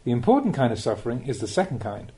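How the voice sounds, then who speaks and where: A man, a Buddhist monk, reading a scripted spiritual teaching as an audiobook, with measured, deliberate phrasing and rhetorical questions. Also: mid-range voice, sing-song, dark tone.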